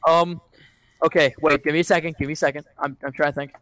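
A man's voice: a little high, level tone, quick pace, a bit bright, no room sound, low-quality recording. A teenage boy co-hosting a casual podcast, joking and teasing his friends over a video call. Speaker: podcast co-host. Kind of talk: casual banter.

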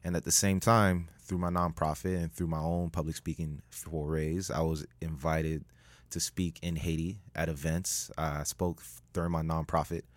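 Man, very low voice, animated storyteller, podcast guest, dry studio sound. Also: normal speed, dry recording. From United States. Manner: animated, rises sharply in pitch when joking. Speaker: guest, public speaker.

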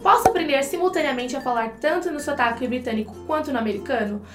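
A woman's voice: high-pitched, animated, fast talker, echoey room, background noise. A Brazilian woman co-hosting an online English lesson, chatting to viewers as she explains things with examples. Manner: explanatory, addresses viewers directly, uses examples. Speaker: English teacher and co-host. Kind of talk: conversational language lesson for online video.

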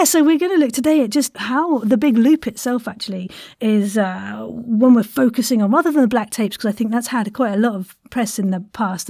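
A woman hosts a relaxed interview podcast with a soft-toned, slightly high voice. She is effusive and encouraging, and the sound is dry and close. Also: dry recording. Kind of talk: casual interview chat.

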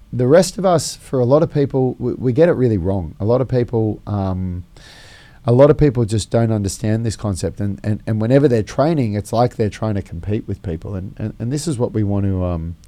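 A middle-aged man hosts a casual fitness podcast with a hoarse, quiet voice. His tone sits low and mellow. He rambles, restarting sentences and filling gaps with ums. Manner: rambling with many fillers and restarts. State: lost his voice, speaking quietly. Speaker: gym co-founder and podcast host.

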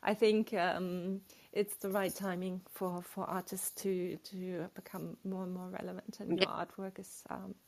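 A woman's voice: on the low side, little pitch movement, unhurried, gravelly, hardly any pauses, a little mellow. A woman hosting an online artists' panel over a video call, full of effusive praise for her guests. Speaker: chat moderator.

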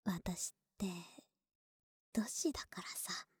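A woman playing an affectionate, slightly clumsy young neighbor with a big-sister air in an ASMR voice drama, speaking softly and intimately, often in a whisper. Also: medium pitch, lively intonation, a little slow, no echo, studio quality. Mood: tender, confiding. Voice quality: breathy. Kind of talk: Japanese ASMR situation voice drama.

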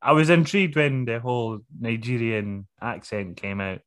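A man's voice: medium pitch, moderate pace. Laid-back man co-hosting a podcast. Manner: dry, laid-back, reads out trivia, chuckles. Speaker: podcast co-host. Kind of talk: casual chat.

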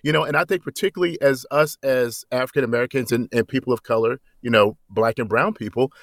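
A man who works as a voice actor, speaking as an interview guest in a relaxed way and often checking in with "you know.". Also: fairly low pitch, dry recording, studio quality. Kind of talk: conversational interview.

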